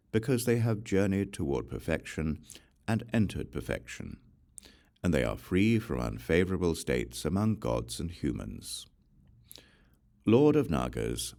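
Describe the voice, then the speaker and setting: Male audiobook reader, very deep voice, lively intonation, solemn measured delivery. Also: gravelly. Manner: slow, solemn and deliberate. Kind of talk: measured scripture reading.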